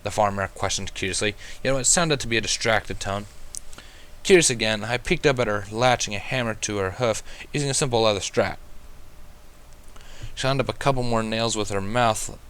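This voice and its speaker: Low-voiced man reading a story aloud. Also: normal speed, fairly bright tone, clear, resonant tone. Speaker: fan fiction reader. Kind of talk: first-person story narration.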